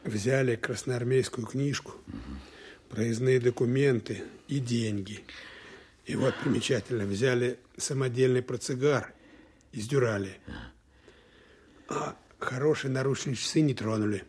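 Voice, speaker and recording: Soviet lieutenant colonel investigator, husky mid-pitched voice, deliberate, thinks aloud methodically. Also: male voice, darkish tone. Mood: thoughtful reasoning. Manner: deliberate, pausing to reason aloud. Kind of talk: audio drama acting.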